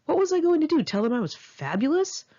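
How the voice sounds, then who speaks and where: A woman reading her own memoir as scripted audiobook narration, in a frank, emphatic and expressive manner. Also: high voice, fast talker, lo-fi sound.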